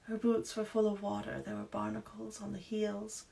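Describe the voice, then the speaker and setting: A woman in her thirties with a low voice, an author reading her own novel aloud at home into a webcam, hushed and whispery when she reads, chatty with fillers when she talks. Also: monotone, normal speed, gravelly. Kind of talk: storybook reading then casual chat. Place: dim room at home.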